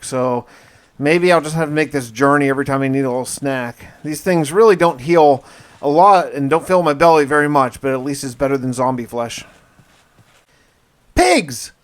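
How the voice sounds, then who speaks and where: Male gaming storyteller, voice a bit on the high side, casual first-person narration with dramatic delivery and colloquial asides. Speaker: gaming video host and narrator.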